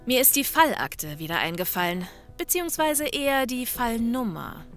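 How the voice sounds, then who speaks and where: Sassy, brash police investigator. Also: female voice, a little high, sing-song, normal speed, soft background music. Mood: confident, dismissive. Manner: sassy, confident, matter-of-fact. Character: brash, sharp-witted female police investigator. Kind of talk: German comedy audio drama acting.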